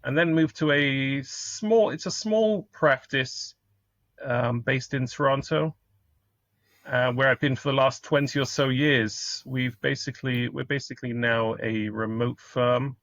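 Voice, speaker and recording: A man's voice: medium pitch, measured pace, fairly bright tone, no room sound. A middle-aged British man, an accountant, answering interview questions over a remote call in a polite, explanatory way, often restarting his sentences. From United Kingdom. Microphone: remote call microphone. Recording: dull, dry remote call audio.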